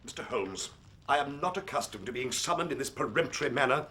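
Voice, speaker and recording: Snooty lord, forceful sharp voice, impatient and very formal, period mystery drama. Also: male voice, mid-range voice, animated, a little fast. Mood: indignant, impatient. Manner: imperious, clipped, formal. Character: haughty, impatient aristocratic male lord.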